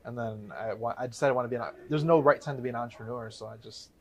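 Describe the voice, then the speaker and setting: A man's voice: medium pitch, racing, darkish tone. A man from the Chicago area in his thirties, a guest telling his story in a casual interview, rambling and correcting himself as he goes. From Chicago area, USA. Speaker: guest, real estate investor.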